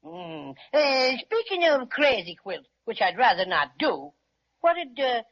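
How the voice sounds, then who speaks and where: A jealous little wisecracking bear. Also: female voice, sits high, very animated, unhurried, vocal fry, exaggerated voice. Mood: grumbling. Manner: sarcastic and grumbling. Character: small jealous wisecracking male toy bear. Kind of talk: 1930s radio acting. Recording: muffled old radio recording.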